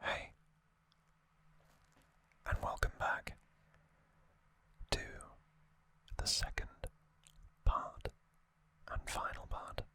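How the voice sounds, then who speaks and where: An ASMR host of unclear sex whispering an explanatory recap in short, halting phrases, fully hushed.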